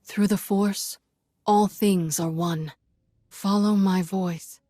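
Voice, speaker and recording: An actress playing a compassionate Jedi woman in a video game, soothing and measured. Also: mid-range voice, fairly even intonation, mellow, no room sound, studio quality. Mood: calm, guiding. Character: calm, compassionate Jedi woman leading meditation. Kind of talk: dramatic game acting.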